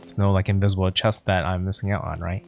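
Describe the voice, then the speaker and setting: A man's voice: deep voice, little pitch movement, quick pace, gravelly, over music. A man giving casual let's play commentary as a game streamer.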